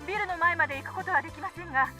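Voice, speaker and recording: An actress playing a brisk, formal television news reporter in a visual novel. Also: female voice, extremely high pitch, pushed and bright. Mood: urgent, formal. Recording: music under the voice.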